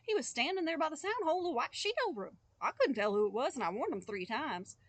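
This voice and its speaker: A woman's voice: high voice, big pitch swings, quick pace, dry recording, pitched above the performer's own voice. A woman voicing a naive, fearless young boy in a storybook, speaking earnestly in a raised, lifted voice. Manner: earnest, raising his voice.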